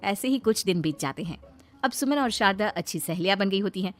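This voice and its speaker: A woman storyteller narrates a scripted moral tale. Her pitch is medium for a woman, and music plays faintly behind her. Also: lively intonation, a little fast.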